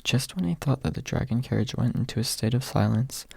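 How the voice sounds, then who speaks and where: A man narrates a story in a soft ASMR manner. His voice is gravelly and husky, sitting at a medium pitch. The sound is close and dry, with very little room in it. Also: lively intonation, a little fast, dry recording. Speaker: audiobook narrator.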